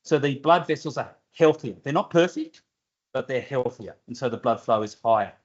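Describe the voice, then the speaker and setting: A man's voice: medium pitch, lively intonation, moderate pace. Older aussie guy answering seminar questions, blunt and dry, muffled lecture audio. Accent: Australian. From Australia. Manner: plainspoken, direct, with dry humour. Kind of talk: seminar Q&A explanation. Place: university seminar room.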